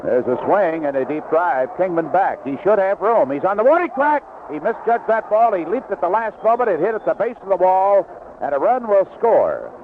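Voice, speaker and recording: A man's voice: high voice, slight background noise. Man calling baseball on tinny vintage radio. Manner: unhurried description between plays, rising excitedly on action. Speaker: baseball play-by-play announcer. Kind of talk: live 1970s radio sports commentary. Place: outdoor ballpark broadcast booth.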